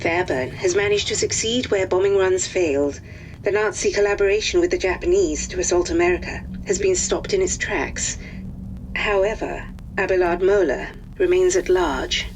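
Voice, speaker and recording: A woman's voice: on the low side, moderate pace, noisy background, natural voice. A woman playing a formal military intelligence reporter, reading an official mission report in a war game. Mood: formal, measured. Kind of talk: scripted mission report narration.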